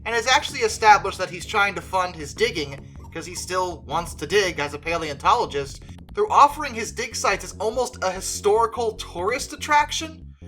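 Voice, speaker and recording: A woman's voice: mid-range voice, sharp tone. A woman talking conversationally through an opinionated fan review, with emphatic stress on key words. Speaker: video creator reviewing films as a fan.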